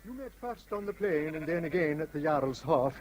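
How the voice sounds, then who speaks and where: A courteous Shetland father with a Shetland Scots lilt welcomes a guest in old-fashioned island speech, as an actor plays him. His voice sits fairly high and rises and falls a lot, over a faint background hiss. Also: lively intonation, normal speed, darkish tone, lightly acted. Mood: hospitable. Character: hospitable older Shetland crofter father. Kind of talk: 1960s radio drama acting.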